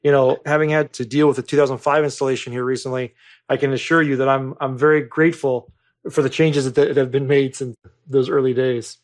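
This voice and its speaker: A man's voice: medium pitch, fairly even intonation, a little fast. Easygoing male podcast host. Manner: easygoing, loose phrasing with "kinda" and "you know". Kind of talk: casual podcast interview.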